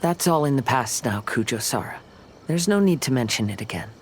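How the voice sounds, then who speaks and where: A man playing a calm, polite young wandering swordsman in a video game, speaking gently and with measured care. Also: medium pitch, a little hiss or hum, lightly acted. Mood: gentle, earnest.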